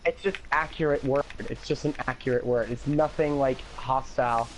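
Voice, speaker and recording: A teenage man chatting on a livestream, with a higher-pitched voice, an occasional lisp and many "like"s and curses. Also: normal speed, a little hiss or hum, rough recording. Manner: talkative, rambling with many "like"s, swearing, animated laughs. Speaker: livestream host. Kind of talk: casual chat on a game livestream.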